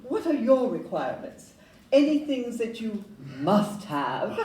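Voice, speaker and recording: A woman's voice: a little high, big pitch swings, unhurried, dark tone. Woman playing a businesslike young Victorian agent, echoey room. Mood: businesslike, curious. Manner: businesslike, questioning, polite. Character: young Victorian female house agent, brisk, businesslike, polite. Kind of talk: stage audio-play acting. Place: live performance in a club room. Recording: distant roomy live recording, faint noise.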